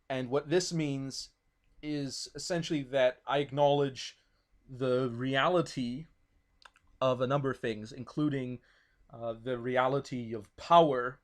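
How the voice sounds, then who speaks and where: Man, non-native english speaker, thoughtful, stops and restarts a lot, explaining ideas. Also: medium pitch. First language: not English. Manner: thoughtful, many restarts and fillers. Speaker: guest explaining his political views. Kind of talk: casual discussion.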